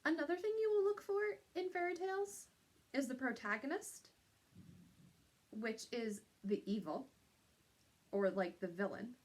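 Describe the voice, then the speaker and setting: A woman's voice: high-pitched, measured pace, long pauses. A woman teacher giving an instructional lesson to young pupils, explaining step by step and checking in with little tag questions.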